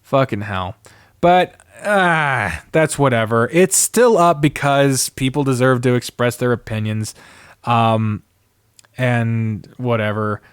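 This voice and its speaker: Male podcaster, medium pitch, close dry sound; opinionated, exasperated, sardonic, swears freely in casual rants. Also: takes it slowly, smooth, no echo. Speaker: podcast host. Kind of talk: casual opinionated rant with read-out comments.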